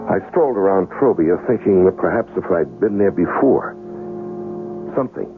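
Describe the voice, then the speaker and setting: A man's voice: low-pitched, animated, normal speed. An actor playing a wary, wry American gunman in a 1970s radio drama, with a blunt and guarded manner. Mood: brooding. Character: wary, wry American amnesiac gunman telling his story. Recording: muffled vintage radio, music underneath.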